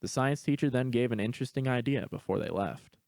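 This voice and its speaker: A man's voice: mid-range voice, brisk, gravelly, a little mellow, no room sound, studio quality. A man reading scripted story narration as the narrator.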